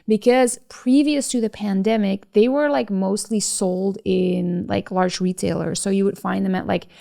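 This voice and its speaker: Woman with a creaky voice. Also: medium pitch, darkish tone. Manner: chatty, many fillers in conversation. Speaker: podcast co-host. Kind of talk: scripted narration and casual chat.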